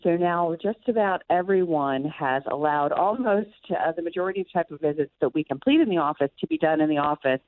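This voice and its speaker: A woman's voice: low-pitched, fairly quick, few pauses. A woman physician giving explanatory answers as an interview guest over a phone-like line.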